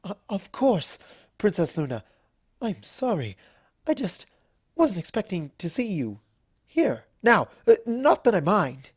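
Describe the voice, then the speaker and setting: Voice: mid-range voice, sing-song, a little slow, slightly rough, voice pushed up. A narrator voicing a refined, eager-to-please female unicorn in a storybook reading, with prim, gushing politeness. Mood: flustered. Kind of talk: storybook character voice.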